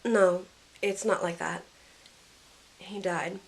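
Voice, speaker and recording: A woman's voice: on the low side, fairly even intonation, slow, poor audio. Woman acting grieving young heroine, earnest storyteller. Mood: subdued. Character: grieving, guilt-ridden young woman recounting her lost love. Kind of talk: first-person audio drama narration with acted dialogue.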